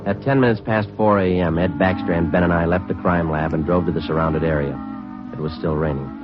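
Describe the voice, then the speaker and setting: A man's voice: low-pitched, steady tone, a little fast, natural voice. An actor playing a terse male police detective who narrates the case in a clipped, matter-of-fact way, in a 1950s radio drama. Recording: dull 1950s radio broadcast with music.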